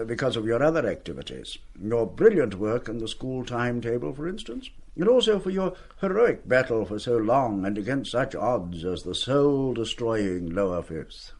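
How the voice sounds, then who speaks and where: Suave, lilting headmaster. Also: male voice, medium pitch, very animated, moderate pace, hardly any pauses, darkish tone. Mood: patronising. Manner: urbane, wordy, patronising. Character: suave, condescending male public school headmaster, falsely genial. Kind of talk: radio play acting.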